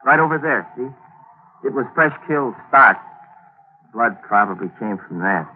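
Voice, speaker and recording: A man's voice: mid-range voice, expressive, moderate pace, close to an everyday voice. A man in a 1940s radio drama playing a scheming plotter who also narrates, clipped and commanding. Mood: evasive. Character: cool, scheming male schemer plotting a cover-up. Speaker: narrator and lead character. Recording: tinny old radio broadcast with hiss.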